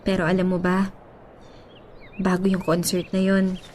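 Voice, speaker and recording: A woman's voice: fairly low pitch, completely flat delivery, measured pace, darkish tone, slight background noise, natural voice. An actress playing a stubborn, lovesick young woman in a Filipino radio drama, speaking in an emotive, pleading way. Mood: wistful narration.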